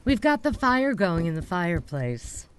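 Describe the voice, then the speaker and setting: A woman's voice: fairly low pitch, sing-song, measured pace, a little hiss or hum, poor audio. A woman playing an attentive middle-aged wife hosting friends at a cabin, in an amateur radio drama. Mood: homely. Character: middle-aged wife Teresa, warm hostess. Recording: music score behind.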